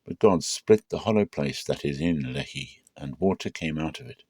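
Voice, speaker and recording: A man narrating the Bible aloud as a dramatic reading, in a measured, solemn and expressive storytelling manner. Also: very deep voice, slightly rough.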